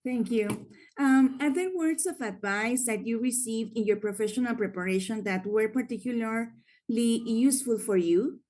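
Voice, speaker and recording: A woman interviewing a scientist, asking questions politely and in a measured way, with pauses filled by "um". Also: on the high side, darkish tone.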